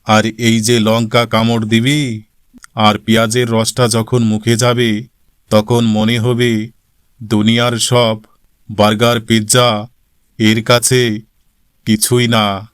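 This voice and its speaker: A man's voice: lowish voice, flat delivery, takes it slowly, long pauses, clean tone, no room sound, clean studio sound. A man playing a proud, teasing old village grandfather in a comic cartoon, affectionately scolding and instructive. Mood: proud, explaining.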